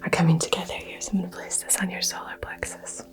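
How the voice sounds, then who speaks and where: A woman from the American South whispering slowly and soothingly through a crystal healing roleplay. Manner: soft, slow, soothing whisper. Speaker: ASMR creator doing a crystal healing.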